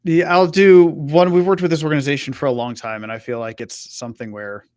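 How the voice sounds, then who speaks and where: A man's voice: on the high side, steady tone, brisk, a little mellow, clear, resonant tone, no room sound. A man presenting on a live web show, talking through an on-screen walkthrough in an informal, conversational way and acting out example questions. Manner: informal, voices imagined customer questions as examples.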